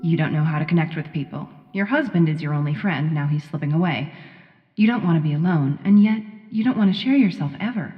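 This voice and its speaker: A woman's voice: sits low, fast, close to an everyday voice. A woman playing a suspicious, troubled wife searching through her husband's mind, emotional, in a radio play. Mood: self-critical. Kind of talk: radio drama acting. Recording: reverberant, muffled, faint music behind.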